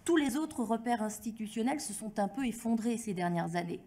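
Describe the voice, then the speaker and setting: Mellow-voiced woman giving formal testimony. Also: on the high side, animated, brisk. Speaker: academic expert giving testimony at a hearing. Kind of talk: formal prepared address with spontaneous phrasing.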